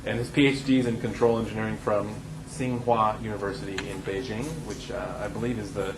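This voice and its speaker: A middle-aged man giving an informal, halting seminar introduction as host, reading partly from notes. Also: fairly low pitch, flat delivery. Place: university lecture room, indoors. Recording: roomy, echoey lecture room with clear noise.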